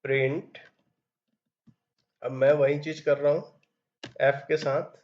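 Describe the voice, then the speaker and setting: A man's voice: on the high side, little pitch movement, takes it slowly, darkish tone, muffled recording. A man teaching programming step by step, reading code aloud as he types. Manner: explains step by step while typing, reads code aloud. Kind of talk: screen-recorded coding tutorial.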